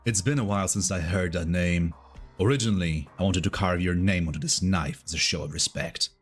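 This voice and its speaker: A man voicing a stern, disillusioned mercenary commander in a dramatic game dub, speaking gravely and deliberately. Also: sits low, faint music behind. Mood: cold, probing.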